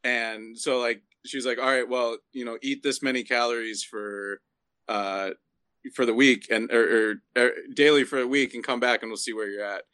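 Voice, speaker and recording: A man's voice: mid-range voice, steady tone, moderate pace, sharp tone, smooth, no echo. Easygoing man in his mid-thirties, dry sound. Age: 30s. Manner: easygoing, hesitant restarts. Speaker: zookeeper guest. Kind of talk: casual interview chat.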